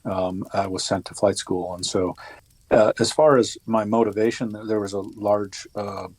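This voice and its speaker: A man in his fifties, a retired Army officer, talking as a podcast guest in a casual, halting way with many restarts and fillers. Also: lowish voice, fairly even intonation, darkish tone, dry recording, rough recording. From United States. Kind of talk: casual interview conversation.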